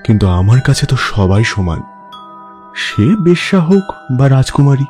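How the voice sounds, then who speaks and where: A man's voice: mid-range voice, very animated, a little slow, a little mellow, soft background music. A man playing a principled, protective character in an audio story, speaking in a composed, earnest way. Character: principled, calm, protective man defending outcasts. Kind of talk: Bengali audio story acting.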